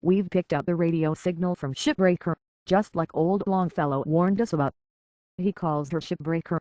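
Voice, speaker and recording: An actor playing a gruff, wary, weary but defiant island local in a video game, blunt and folksy in manner. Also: medium pitch, moderate pace, darkish tone, no echo, close to an everyday voice. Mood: businesslike, resolute. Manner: blunt, folksy, suspicious of outsiders. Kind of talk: video game character acting.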